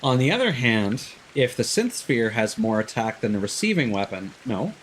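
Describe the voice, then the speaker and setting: A man's voice: mid-range voice, very animated, normal speed, a little hiss or hum. A man giving casual live gameplay commentary as a streamer, thinking aloud in an analytical way. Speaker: game streamer. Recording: loud game music behind voice. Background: video game music.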